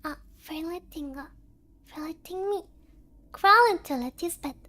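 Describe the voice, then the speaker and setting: A woman voicing a cute, affectionate anime cat girl in soft livestream chat, peppering her speech with Japanese interjections. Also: extremely high pitch, unhurried, exaggerated voice. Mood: cutesy, affectionate. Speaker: VTuber streamer hosting a livestream.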